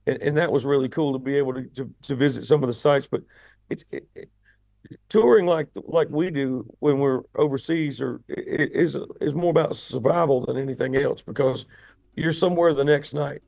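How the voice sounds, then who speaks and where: A man with a raspy voice, a rock singer chatting casually as an interview guest, often repeating words as he starts his sentences. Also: medium pitch, moderate pace, a little mellow, no room sound. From United States.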